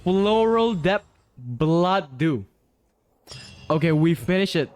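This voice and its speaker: A man's voice: fairly high pitch, expressive, takes it slowly, a little mellow. A man commentating casually on a live game stream in a laid-back, slangy way. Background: game music.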